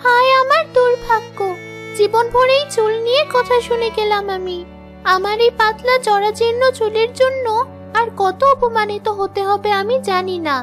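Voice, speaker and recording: A woman's voice: extremely high pitch, a little slow, pitched above the performer's own voice. A woman voicing a plaintive, downtrodden young wife in a children's fairy-tale cartoon. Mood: lamenting. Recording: music behind the voice.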